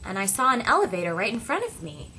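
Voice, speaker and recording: A woman voicing a first-person story as an interpreter, speaking deliberately in short phrases with dramatic pauses. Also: medium pitch, big pitch swings, bright, forceful tone, slight background noise, low-quality recording.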